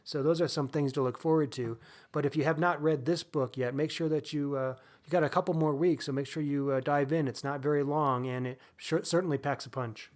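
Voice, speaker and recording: Higher-pitched man, wry podcast co-host. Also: fairly even intonation, fairly quick, a little mellow. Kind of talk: casual book discussion and announcements.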